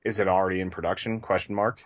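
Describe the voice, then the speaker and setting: A man's voice: deep voice, steady tone, quick pace. A man chatting casually and enthusiastically as a podcast co-host.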